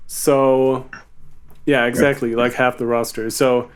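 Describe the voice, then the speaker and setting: Guy hosting a casual q&a chat, kinda halting and careful, some noise in the audio. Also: medium pitch, little pitch movement. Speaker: Q&A host reading fan questions, music video maker. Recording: noisy, sub-par online group chat audio.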